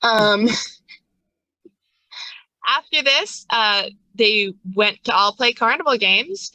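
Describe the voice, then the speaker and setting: Woman, game master, very bright voice, casual streamed recap, playful hinting, dry sound. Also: medium pitch, no echo, rough recording.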